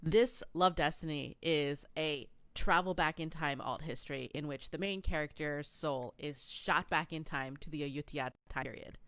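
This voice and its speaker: A woman with a notably low voice, chatting as a podcast co-host. She tells stories in an animated, rambling way, full of "um" and "like". The sound is dry and close. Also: normal speed, few pauses, smooth, no echo. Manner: chatty, animated storytelling with many fillers. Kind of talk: casual chat.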